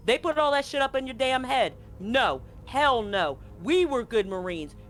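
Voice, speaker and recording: A man's voice: extremely high pitch, animated, moderate pace, a bit bright, faint music behind, voice pushed up. A man voicing a bitter, betrayed Marine, angry and accusing, confrontational and profane in a tabletop game. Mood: furious, accusing. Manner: profane, confrontational, pleading with rhetorical questions.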